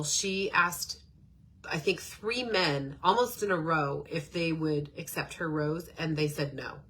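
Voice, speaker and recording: A middle-aged woman with a stuffed-up nose and a nasal voice, hosting a casual, rambling self-help talk with many fillers. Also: low-pitched. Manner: chatty and rambling, with frequent fillers. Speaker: podcast host.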